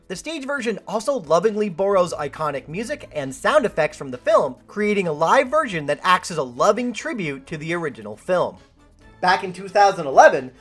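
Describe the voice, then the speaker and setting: A woman with a Canadian accent hosting a scripted film video essay, talking playfully and directly to the viewer. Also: lowish voice. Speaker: video host and film commentator. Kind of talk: scripted video essay narration with chatty asides.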